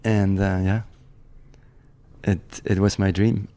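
Swiss guy in his 30s, low flat voice, rambly relaxed chat. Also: slow. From German-speaking Switzerland. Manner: relaxed, rambling, many restarts and "like". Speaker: guest, professional snowboarder. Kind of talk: casual interview chat.

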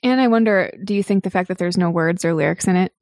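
A woman's voice: fairly low pitch, fast, a little mellow, no room sound, clean studio sound. A woman hosting a casual interview, talking in an informal, encouraging and conversational way. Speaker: interviewer and host.

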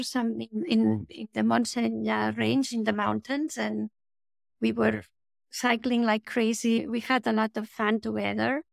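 A woman's voice: on the high side, vocal fry, no echo. A woman from Spain, a children's author being interviewed, talking hesitantly with frequent restarts. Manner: hesitant, restarts often, light laughs. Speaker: children's book author, guest. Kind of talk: podcast interview.

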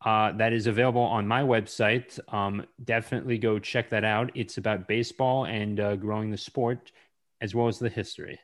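A man hosting a podcast interview, speaking haltingly with many pauses and fillers. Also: fairly low pitch, fairly even intonation. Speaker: podcast host. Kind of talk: casual interview chat.

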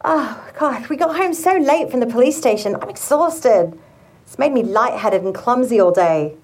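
A woman's voice: on the high side, animated, normal speed, a little mellow, husky. An actress playing a tired but teasing woman in an audio drama, chatting playfully at home. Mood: weary, teasing. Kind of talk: full-cast audio drama acting.

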